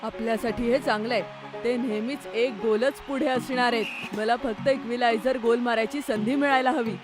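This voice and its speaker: A woman's voice: a little high, steady tone, moderate pace, over music, natural voice. A woman voicing a plucky, determined schoolboy football player in a children's cartoon. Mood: focused.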